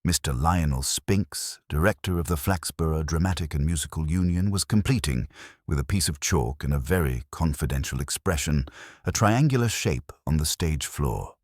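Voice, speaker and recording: Very deep male storyteller, wry delivery. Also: expressive, no room sound, clean studio sound. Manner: wry, measured, literary. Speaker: narrator of an audio mystery story. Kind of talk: scripted narration of a period comic mystery in radio drama style.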